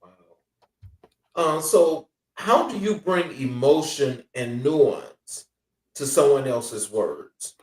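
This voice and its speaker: A man hosting an interview asks questions in a measured, slightly hesitant way. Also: a little high, animated, a little mellow. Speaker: podcast host. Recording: roomy, distant mic.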